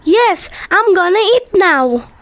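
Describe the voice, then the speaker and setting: Eager little schoolgirl, radio drama, extremely high pitch, slow delivery, dry sound. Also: very animated, no echo, heavily acted. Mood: excited, curious. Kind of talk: radio drama acting in English.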